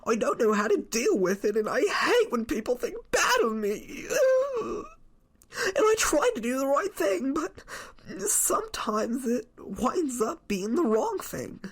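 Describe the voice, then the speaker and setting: Woman acting as a tearful, pleading male defendant, high-pitched. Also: sing-song, breathy edge, heavily acted. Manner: halting, repeating, whiny. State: crying, voice breaking. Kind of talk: amateur readers theater court transcript reenactment.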